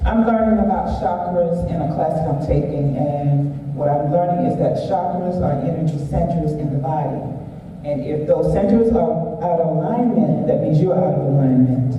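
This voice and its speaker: A woman's voice: low voice, a little hiss or hum. A young woman at a workshop earnestly explaining what she has learned in a class. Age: young adult. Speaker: workshop participant. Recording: dull, echoey hall from far off.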